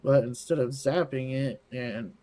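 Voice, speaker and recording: A man's voice: mid-range voice, unhurried, dark tone. A man co-hosting a podcast in casual chat, swearing casually. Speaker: podcast co-host.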